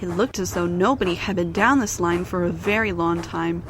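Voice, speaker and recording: A woman's voice: lowish voice, normal speed, noisy background. A woman narrator reading a scripted children's story in storybook style.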